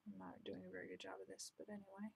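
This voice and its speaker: A young woman talks through her drawing at her desk, her voice sitting at an ordinary middle pitch. She is chatty and self-deprecating, often trailing into soft muttered asides. It sounds like a simple home desk-mic recording. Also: very animated, very fast, creaky, a little hiss or hum. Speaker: drawing vlogger. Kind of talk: casual commentary while drawing. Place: home room, indoors.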